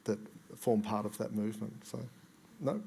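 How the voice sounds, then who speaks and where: A man with an Australian accent moderating a panel discussion in a relaxed, casual way. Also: lowish voice, normal speed, creaky, breathy edge. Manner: relaxed, casual, steering questions. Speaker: panel facilitator.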